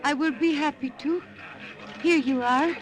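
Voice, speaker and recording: An actress playing an obliging, gentle village woman in an old radio drama, speaking politely. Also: sits high, unhurried, poor audio.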